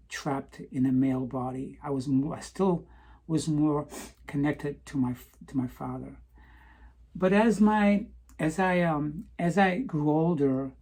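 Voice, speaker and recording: A middle-aged woman telling her own life story in a slow, hesitant way, often pausing with "um" and restarting her words. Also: low voice, a little mellow, slightly rough. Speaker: vlogger. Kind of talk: personal confessional monologue.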